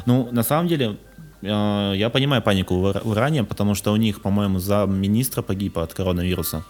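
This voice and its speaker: Low-voiced young man chatting over music. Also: quick pace, darkish tone. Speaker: podcast co-host. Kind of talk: casual chat about news, reading items aloud.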